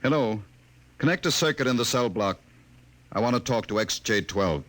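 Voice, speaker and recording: A middle-aged man acting in a 1950s radio drama as a smooth, calculating government director, speaking formally and with measured authority. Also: medium pitch, expressive, a bit bright, slight background noise, lightly acted. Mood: cold, commanding.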